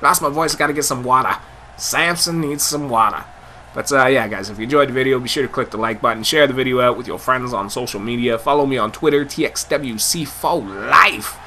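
A young man giving loud, excitable live commentary over a wrestling video game, his voice getting hoarse. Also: mid-range voice, fairly quick, slight background noise. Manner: hyped, shouting play-by-play calls. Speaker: gameplay commentator.

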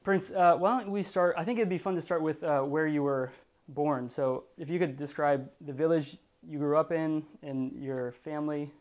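A man's voice: on the high side, fairly even intonation, normal speed, darkish tone. A young man in his twenties hosting an interview in a living room, prompting his guest with friendly questions. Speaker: host. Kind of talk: interview questions to a live audience.

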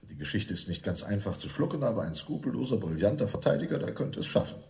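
An actor playing a cool, unscrupulous defence lawyer with a calculating manner, in radio crime drama style. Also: male voice, on the low side, sing-song, fast, gravelly, warm tone.

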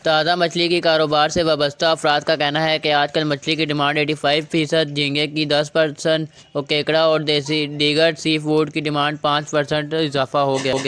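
Young man with a high, smooth voice. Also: moderate pace, hardly any pauses, no room sound. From Pakistan. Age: young adult. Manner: formal, reads facts in steady Urdu. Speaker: vlog host. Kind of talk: informative vlog narration.

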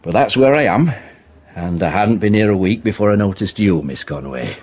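A man acting in a radio play as a brash, ambitious, socially awkward young man, with a husky voice. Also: fairly low pitch, expressive, normal speed, a little mellow. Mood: cocky, insistent. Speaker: actor in an audio play. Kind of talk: British radio drama acting.

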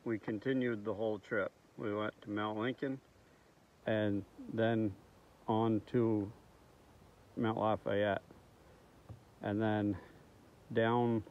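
A man's voice: fairly low pitch, fairly even intonation, slow, long pauses. A man in his thirties talking casually to a phone at arm's length outdoors in a forest, rambling with frequent ums. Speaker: outdoors vlogger. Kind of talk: casual vlog to camera. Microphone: phone held at arm's length. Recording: tinny phone audio with faint outdoor noise.

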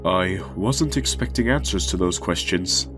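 A man playing a deep, expressive monster king. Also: measured pace, music in the background. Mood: grieving. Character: grief-stricken gentle goat-monster king, turning resolute. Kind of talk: dramatic comic dub.

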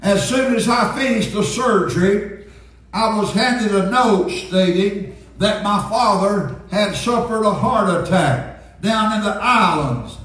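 An elderly male pastor preaches earnestly at an unhurried pace. His voice is high for a man. It rings with heavy echo in a church sanctuary. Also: lively intonation, fairly bright tone. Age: 70s. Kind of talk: Pentecostal sermon, preaching and reading aloud. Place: church sanctuary, indoors at a pulpit. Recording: very echoey church sanctuary, distant sound.

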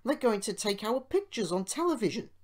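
A woman's voice: a little high, sing-song, a little fast, pitched above the performer's own voice. A woman voicing a good-humoured, teasing engine driver in a children's storybook. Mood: amused. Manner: chuckling, teasing.

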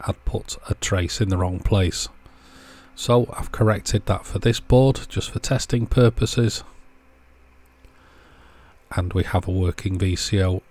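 A man with a fairly low voice hosts a hands-on electronics demonstration. He explains each step in a measured, methodical way, as a voice-over to the work on the bench. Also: a little mellow. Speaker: host of an electronics repair channel.

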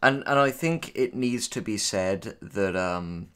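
A man's voice: on the low side, animated, takes it slowly, a bit bright, clear, resonant tone. A man co-hosting a casual reaction commentary, blunt and opinionated in how he talks. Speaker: co-host, writer reading out and reacting to tips.

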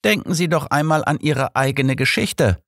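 A man reading an elderly, learned detective in an audiobook, with a measured, formal, explanatory and calmly assured way of talking. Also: on the high side, no echo, studio quality, voice pushed up.

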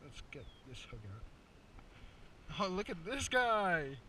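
A young man vlogging his fishing trip at a pond, chatting casually and joking, with loud excited cheers when he hooks a fish. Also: high-pitched, slow pace. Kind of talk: casual outdoor fishing commentary. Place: outdoors at a pond. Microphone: head-mounted action camera. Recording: distant mic with outdoor noise.

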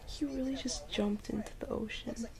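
A woman's voice: a little high, steady tone, normal speed, a crowd in the background, natural voice. A woman playing a sleepy, affectionate girlfriend in an intimate ASMR roleplay, murmuring casually in a drowsy, half-whispered voice. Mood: drowsy, amused. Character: sleepy, affectionate young girlfriend cuddling while watching TV.

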